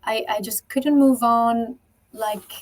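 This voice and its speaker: A French-speaking Belgian woman in her forties talks through her story as a podcast guest, with a rather high voice. She is eager and a bit halting, circling back with "um" and restarts as she gathers her thoughts. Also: steady tone. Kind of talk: casual interview chat.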